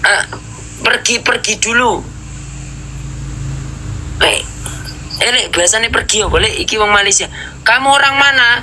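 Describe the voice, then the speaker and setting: A man with a Javanese accent chats politely on a casual live stream. His voice is bright and forward, with a faint hiss of background noise behind it. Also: high voice, unhurried, long pauses, rough recording. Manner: polite, uses Javanese courtesy words. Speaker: livestream host. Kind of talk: casual livestream chat.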